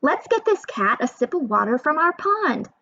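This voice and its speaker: A woman voicing a well-traveled, caring marigold flower lady in a steady, reassuring read. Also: high-pitched, normal speed, dull audio, pitched above the performer's own voice, heavily acted.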